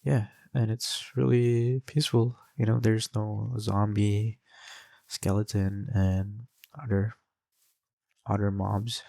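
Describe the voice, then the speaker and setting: A man's voice: fairly low pitch, level tone, slow pace, creaky, dark tone, dry recording. A young man with an Indonesian accent, chatting loosely while playing a game, with lots of fillers and restarts. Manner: rambling, many fillers and restarts. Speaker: gaming channel host.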